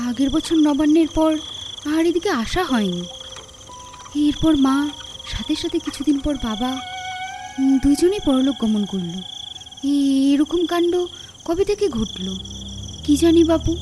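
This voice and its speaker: Woman playing an uneasy, high-voiced young wife. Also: expressive, slow, long pauses. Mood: uneasy, curious. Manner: hesitant, murmuring. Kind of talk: audio horror story acting. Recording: clear night ambience noise behind.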